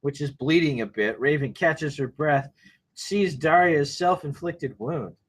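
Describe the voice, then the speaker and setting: A man's voice: a little high, unhurried, husky. A man narrating a screenplay table read, reading action lines aloud informally with wry asides.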